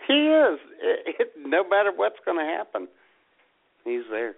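A man's voice: sits high, wildly swooping pitch, a little slow, creaky. A man co-hosting a radio show, bantering with mock disbelief. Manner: incredulous, joking. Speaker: co-host. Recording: tinny phone-like audio.

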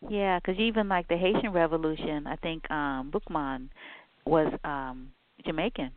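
A woman with a low voice hosts a radio interview, chatty and loose. She searches for words with lots of "um" and "you know". The sound is thin and phone-like. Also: moderate pace, no echo. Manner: chatty, hesitant with many fillers. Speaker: radio show host. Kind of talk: casual interview conversation. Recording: phone-like line.

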